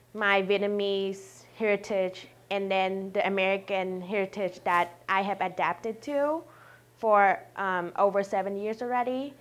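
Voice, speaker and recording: A young woman speaks unrehearsed at a middle pitch. She is hesitant, pausing with "um" as she searches for how to put things. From California. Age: young adult. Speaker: student interviewee. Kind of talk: unscripted reflective answers.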